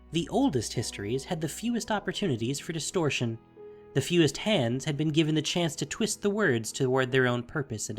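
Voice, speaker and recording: A man narrating a scripted fantasy audio drama with measured, theatrical phrasing. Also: medium pitch. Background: music.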